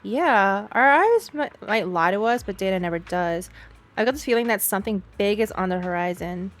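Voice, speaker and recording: A woman's voice: mid-range voice, expressive, normal speed. A woman streamer giving casual game reaction commentary and reading dialogue aloud, with blunt, sarcastic asides.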